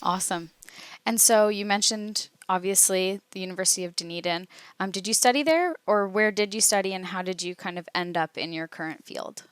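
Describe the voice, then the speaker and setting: A woman's voice: medium pitch, fairly quick, smooth, no room sound. A woman hosting an interview, giving scripted introductions and asking questions. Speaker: interview host.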